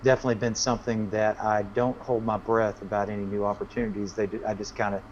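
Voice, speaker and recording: A man's voice: fairly low pitch, little pitch movement, fast talker. A man speaking as a musician guest in a casual interview, laid-back and folksy. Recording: dull lo-fi connection, faint noise.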